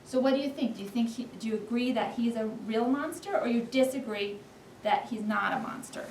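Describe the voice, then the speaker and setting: A woman's voice: a little high, fairly quick. A woman leading young children through a class discussion, guiding them with questions and countdowns in an encouraging way. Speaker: first-grade teacher leading a class discussion. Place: indoor elementary school classroom. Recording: echoey classroom, distant mic, faint noise.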